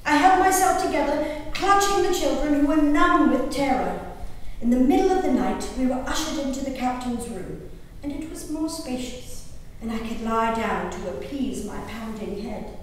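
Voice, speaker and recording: A woman performing a historical diary as a resolute, haunted gentlewoman recalling peril at sea, in theatrical, measured phrases with dramatic pauses. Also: sits high, lively intonation. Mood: urgent, frightened. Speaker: stage performer. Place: live reading in a reverberant hall. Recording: distant echoey hall, noisy room recording.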